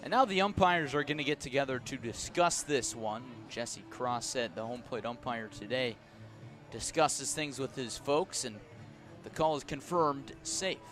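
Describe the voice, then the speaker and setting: A man's voice: medium pitch, measured pace, fairly bright tone, soft background music. Man, baseball radio play-by-play, mid-range voice, short descriptive calls, outdoor ballpark. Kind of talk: live radio sports commentary.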